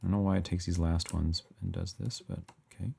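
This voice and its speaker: A man's voice: low voice, fast, gravelly, breathy edge. A man in his thirties hosting a music livestream, chatting casually and laughing as he reads comments and works. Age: 30s. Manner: relaxed, laughing, thinking aloud while working. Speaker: livestream host composing music. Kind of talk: casual livestream chat, reading viewer comments. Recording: faint music playing behind, keyboard typing.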